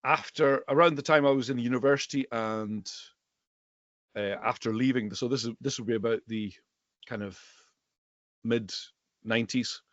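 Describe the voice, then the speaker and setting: A middle-aged man from the Western Isles of Scotland, a native Gaelic speaker with a Highland accent, chatting conversationally as an interview guest. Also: moderate pace, pauses often, a bit bright, no room sound, dull audio. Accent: Scottish Highland. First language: Scottish Gaelic. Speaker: guest, bookseller and Gaelic teacher.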